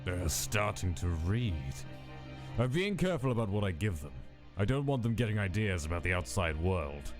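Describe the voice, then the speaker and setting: Deep, cold mad scientist acted by a man. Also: sing-song. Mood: clinical, measured. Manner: clinical, measured, dismissive. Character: cold, detached male monster mad scientist, a reluctant creator. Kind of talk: fan comic dub narration of lab log entries. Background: music.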